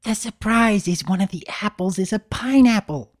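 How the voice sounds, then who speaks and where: A man's voice: high-pitched, moderate pace, voice pushed up, lightly acted. A man voicing a bubbly, eager young female pony baker in a storybook reading. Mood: perky. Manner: perky, eager.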